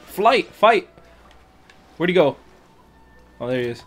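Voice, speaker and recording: A man's voice: high voice, sing-song, slow, fairly bright tone, faint music behind. A man doing casual, joking gameplay commentary with plenty of slang and swearing, as a streamer. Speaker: gaming streamer.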